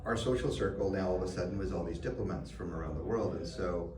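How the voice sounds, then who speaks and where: A middle-aged man, answering interview questions thoughtfully, often pausing with fillers and restarts. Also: low-pitched, crowd noise. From Canada. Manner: reflective, many fillers and restarts. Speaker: guest. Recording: roomy, distant mic, background noise.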